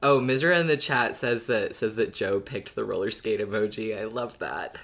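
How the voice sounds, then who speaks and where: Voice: medium pitch, moderate pace, fairly bright tone. A podcast co-host talking in a casual, conversational style.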